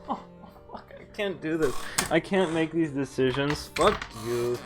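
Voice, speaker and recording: A man's voice: on the high side, expressive, slow pace. Casual male game streamer, faint music behind. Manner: casual, sweary. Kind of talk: reading visual novel text aloud with casual commentary.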